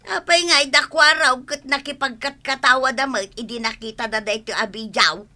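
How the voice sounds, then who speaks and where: A woman's voice: high-pitched, brisk, bright, forceful tone. A woman with an Ilocano accent playing a pushy, nagging village wife in a comedy radio drama.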